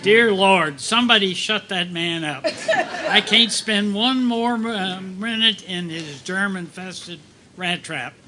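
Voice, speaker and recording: A man's voice: sits high, takes it slowly, a crowd in the background. A man playing a defiant, exasperated gunman in a live comic radio play. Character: defiant, exasperated male gunman holed up in a bus. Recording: background room noise, live performance.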